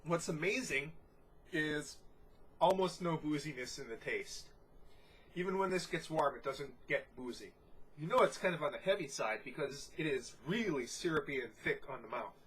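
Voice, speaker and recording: A congested man giving a casual, descriptive beer tasting review, unhurried and full of "you know" fillers. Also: a little high, animated, a bit bright, slight background noise, poor audio.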